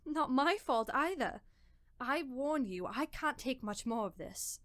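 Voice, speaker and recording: A woman's voice: sits high, lively intonation, measured pace, dry recording, studio quality. A woman playing a fed-up, bitter wife in an audio drama, nagging and sarcastic. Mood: bitter, scornful.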